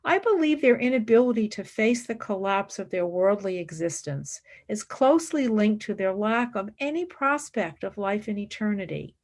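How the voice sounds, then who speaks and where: A woman's voice: mid-range voice, lively intonation, normal speed, few pauses. Woman acting an intense young intellectual, widely swinging intonation. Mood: grave, intense. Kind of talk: radio play acting.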